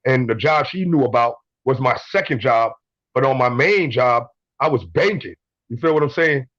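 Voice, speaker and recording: A man's voice: medium pitch, lively intonation, moderate pace, fairly bright tone, no room sound, highs cut off. A man in his twenties calling in to a show, telling blunt stories, swearing and repeating himself. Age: 20s. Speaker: caller. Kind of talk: casual call-in story.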